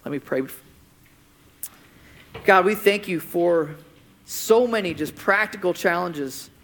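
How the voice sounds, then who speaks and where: Male pastor, high bright voice, earnest preaching and praying from a church stage. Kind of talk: sermon and congregational prayer.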